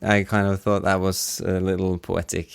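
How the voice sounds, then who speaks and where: A man explaining his sound design work in a casual, halting interview style with frequent hesitations. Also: deep voice, flat delivery, creaky, clear, resonant tone. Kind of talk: casual behind-the-scenes explanation.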